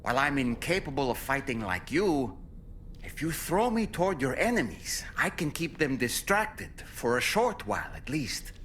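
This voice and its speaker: An actor playing a polite, earnest little puppet companion in a video game, speaking formally. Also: male voice, medium pitch, big pitch swings, normal speed, fairly bright tone, a little hiss or hum. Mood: earnest, helpful.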